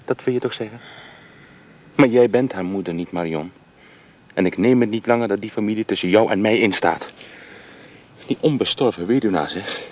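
A man's voice: on the low side, moderate pace, long pauses, darkish tone, natural voice. An actor playing a restless man who urges the woman he loves to leave with him, earnest and pleading. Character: Jaap, restless ardent suitor. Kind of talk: radio play acting.

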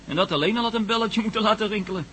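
A practical, wary man in a radio play. His voice is fairly high and bright, dry and a little hesitant, with faint noise behind it. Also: very fast. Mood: dryly rueful. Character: practical, wary cameraman, adult man, dryly organising. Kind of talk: Dutch radio drama acting.

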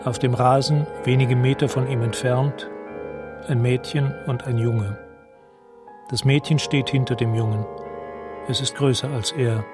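A man's voice: mid-range voice, fairly even intonation, measured pace, pauses often, over music. A man narrating a radio drama in a detached, precise, scene-setting way.

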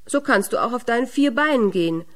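A woman's voice: fairly high pitch, animated, fast talker, voice pushed up, natural voice. A woman storyteller voicing a simple, grumbling cowherd in a fairy tale. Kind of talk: storytelling character voice.

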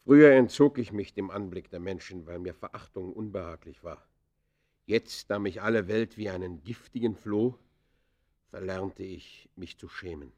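An actor playing an embittered outlaw poacher, confessing in the theatrical, literary manner of a classic German radio play. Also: male voice, low voice, moderate pace, darkish tone. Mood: bitter, disdainful.

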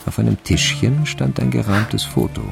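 A man narrating a crime radio play in a sober, measured, deadpan way. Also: mid-range voice, creaky, over music. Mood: dry suspense. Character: sober, dry male narrator of a crime story. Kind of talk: scripted radio drama narration.

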